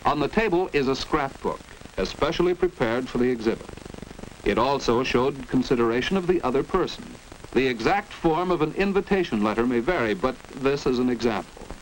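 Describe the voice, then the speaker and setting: A man's voice: medium pitch, animated, fairly quick. A man with an American accent narrating a 1940s instructional film in a precise, didactic manner. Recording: noisy 1940s educational film soundtrack.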